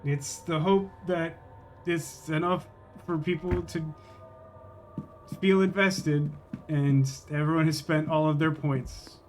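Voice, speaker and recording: A man with a slightly high-pitched voice commentates his game live over faint music. He talks to viewers casually and thinks out loud in a wry, rambling way. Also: steady tone, slow. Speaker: video game livestreamer.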